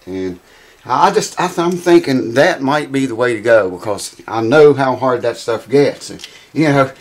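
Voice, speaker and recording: A man in his thirties with a drawl, his voice raspy and worn thin. He chats loosely and folksily, swearing now and then, as he talks through a repair in a small cluttered workshop. His pitch sits in the middle range, heard through a camera mic a few feet off. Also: lively intonation, moderate pace. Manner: rambling, folksy, swears casually. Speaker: guitar repair vlogger. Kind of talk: casual workshop chat to camera. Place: small cluttered home workshop with mounted animal heads, indoors.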